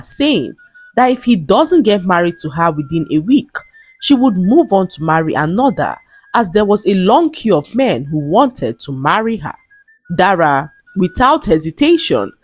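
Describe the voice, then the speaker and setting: Female folktale narrator, husky tone with animated intonation, dramatic scripted delivery. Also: lowish voice. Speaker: storyteller. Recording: music behind the voice. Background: background music.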